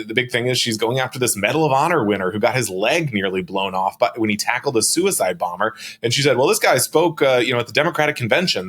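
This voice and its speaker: A man giving casual political commentary on a panel, speaking in a halting, wry, conversational way. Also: mid-range voice, fairly bright tone.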